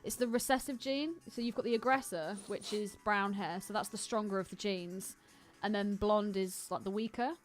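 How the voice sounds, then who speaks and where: A woman's voice: mid-range voice, moderate pace, hardly any pauses, soft background music. A British woman co-hosting a podcast in casual, sweary, jokey film chat. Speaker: podcast co-host.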